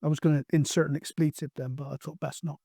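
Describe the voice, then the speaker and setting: Male hobby-video host, middle-aged. Slightly high voice, close dry sound, informal and anecdotal. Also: little pitch movement, quick pace, vocal fry, dark tone, breathy edge, dry recording. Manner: chatty, anecdotal, colloquial. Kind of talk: casual rambling vlog introduction.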